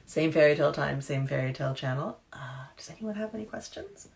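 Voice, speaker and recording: A woman in her thirties with a low voice, an author reading her own novel aloud at home into a webcam, hushed and whispery when she reads, chatty with fillers when she talks. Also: lively intonation, a little fast, vocal fry. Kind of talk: storybook reading then casual chat. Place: dim room at home.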